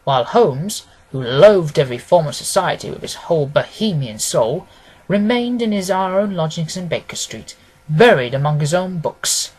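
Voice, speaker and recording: A man narrating a classic detective story as an audiobook, reading in a measured, formal, expressive way. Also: fairly high pitch, slightly rough, low-quality recording.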